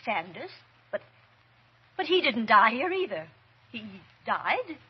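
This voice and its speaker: An actress playing a frightened, pleading woman, halting with dread, in an old-time radio horror drama. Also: fairly high pitch, very animated, husky, full character voice. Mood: uneasy, afraid. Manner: hesitant, halting, breathless dread. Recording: dull vintage radio recording.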